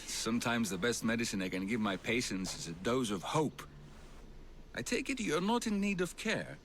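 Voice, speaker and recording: An actor playing a kind, earnest village physician weighed down by worry, in a video game cutscene. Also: male voice, medium pitch, lively intonation, normal speed, a bit bright, slight background noise. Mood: gentle.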